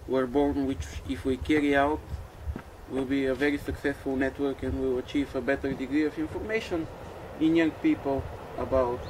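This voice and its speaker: A man from Bulgaria, a project coordinator, answering interview questions in a measured, reflective way. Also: mid-range voice, fairly even intonation, a little mellow, slight background noise, rough recording.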